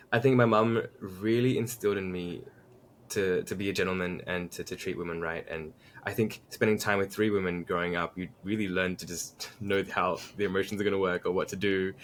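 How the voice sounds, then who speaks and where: Aussie guy with a deep voice on a podcast, reflective casual chat, bit of noise behind. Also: a bit bright. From Tasmania, Australia. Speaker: podcast guest, musician and DJ.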